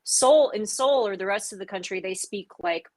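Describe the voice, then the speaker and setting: A woman's voice: medium pitch, moderate pace. A woman from Texas with a faint Texas accent, a musician guest chatting in a self-deprecating way. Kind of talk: casual interview chat.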